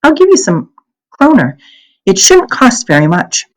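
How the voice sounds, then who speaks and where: A woman reading the part of a kind, practical mother in a wartime storybook, gentle and motherly. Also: a little high, huge pitch swings, measured pace, voice pushed up, rough recording. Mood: brisk, practical.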